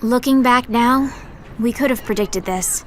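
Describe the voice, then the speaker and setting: A woman's voice: a little high, measured pace, a little hiss or hum, close to an everyday voice. An actress playing a blunt, sarcastic young woman in a video game audio drama. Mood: reflective. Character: sassy sharp-tongued young woman. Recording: game drama with music underneath.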